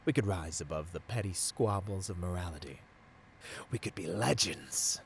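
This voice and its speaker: A man's voice: low-pitched, animated, normal speed, a little hiss or hum. A man playing a seductive villain tempting a hero in a scripted ASMR roleplay, speaking intimately and theatrically and often dropping toward a whisper. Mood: excited, grand.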